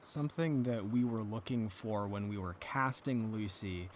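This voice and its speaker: A man's voice: on the low side, a little hiss or hum. A man talking earnestly and articulately as an interview guest about his creative work in audio drama. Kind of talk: thoughtful interview talk.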